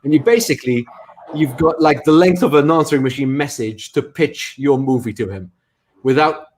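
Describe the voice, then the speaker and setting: A man's voice: medium pitch, animated, normal speed, darkish tone, a little hiss or hum. Male co-host, everyday voice, loose storytelling with restarts. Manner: rambling storyteller, restarts often. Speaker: show co-host. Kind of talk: casual online comedy chat.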